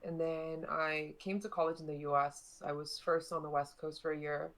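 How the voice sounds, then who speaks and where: A young woman from Hong Kong, a podcast guest, calmly recounting her background in a matter-of-fact way. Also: low voice, normal speed. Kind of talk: interview answers.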